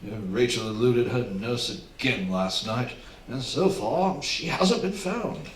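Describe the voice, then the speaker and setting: A man plays a fretful, well-bred master of a country house with upper-class phrasing. His radio-play delivery is exclamatory and swings widely in pitch, picked up from a little way off in a roomy space. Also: expressive, a little slow, lightly acted. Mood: concerned, flustered. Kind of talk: theatrical radio drama acting. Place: theatre stage, live performance. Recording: roomy, distant stage miking, faint hiss.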